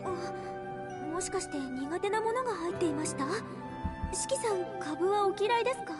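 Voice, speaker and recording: A sunny young housemaid from a visual novel. Her voice sits extremely high and hurries along very fast with cheerful, singsong courtesy. Game music plays loudly behind her. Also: expressive. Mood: courteous concern. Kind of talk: Japanese game voice acting. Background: loud game music.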